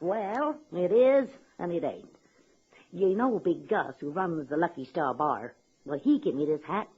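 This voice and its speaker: A woman's voice: fairly low pitch, animated, a little slow, husky, exaggerated voice. An actress playing a folksy, blunt, unworldly woman with a wry, ungrammatical, drawling delivery in a radio comedy drama. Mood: wry, chatty. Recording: dull, lo-fi old radio recording.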